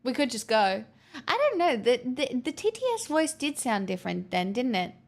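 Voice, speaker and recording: A woman's voice: fairly high pitch, sing-song, normal speed, vocal fry. A woman live streamer chatting casually and candidly with viewers, answering their messages with frequent restarts and the odd swear.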